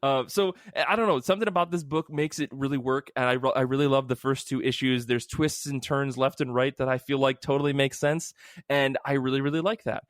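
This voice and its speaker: A man hosts a casual podcast with an enthusiastic, rambling delivery. His voice sits at a middling male pitch, and the sound is dry with no room around it. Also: steady tone, a little fast, no echo. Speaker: podcast host. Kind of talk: casual comic book podcast chat.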